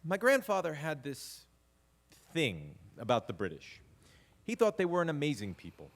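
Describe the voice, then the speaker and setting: An actor playing a grandson fondly narrating family history in a wry storytelling manner on stage. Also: male voice, a little high, huge pitch swings, moderate pace. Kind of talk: dramatic monologue narration before live audience.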